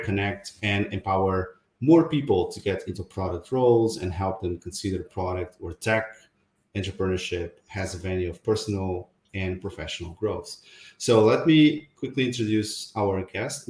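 Echoey male podcast host. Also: on the low side. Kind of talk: interview hosting.

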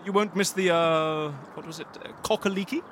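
A man's voice: high-pitched, steady tone, brisk, a bit bright, a little hiss or hum. A man playing a polite, eccentric fixer in a comedy audio drama, hesitant and theatrical in his manner. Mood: curious, polite. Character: eccentric, polite, excitable male fixer interviewing a client. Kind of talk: audio fiction comedy acting.

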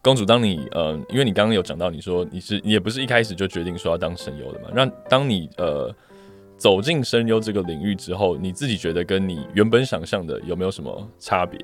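A man's voice: sits low, animated, fast, soft background music. A man co-hosting a casual podcast chat, speaking with a Taiwanese Mandarin accent. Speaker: podcast co-host.

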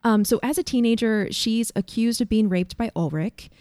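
A woman's voice: fairly high pitch, moderate pace, dark tone, no echo, clean studio sound. A woman co-hosting a fan podcast who steers the talk into a careful, organized recap in casual chat. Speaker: podcast co-host.

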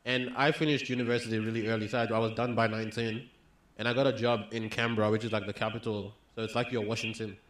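A young man from Australia, calmly telling a story in casual chat, with ums and restarts. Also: fairly low pitch, quick pace. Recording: roomy echoey room.

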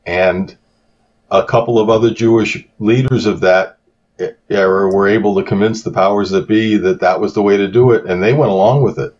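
A man who teaches Hebrew, chatting as a podcast guest and telling stories in an unhurried, explanatory way. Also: lowish voice, fairly even intonation, a little mellow, highs cut off. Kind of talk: casual podcast conversation.

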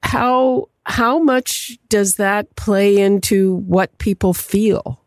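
A woman radio host, asking measured, deliberate interview questions. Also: mid-range voice, dry recording, studio quality.

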